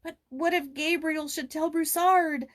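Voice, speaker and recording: A woman voicing a timid, anxious young goatherd boy in a storybook, high and plaintive, with a tearful, gasping manner. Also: steady tone, unhurried.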